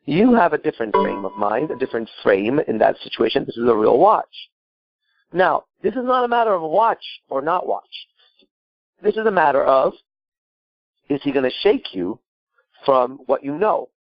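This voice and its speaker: A man's voice: fairly high pitch, sing-song, normal speed, no echo, lo-fi sound. A man from Iran giving improvised advice as a call-in show host, emphatic and blunt, repeating himself and asking rhetorical questions. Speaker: podcast host and coach.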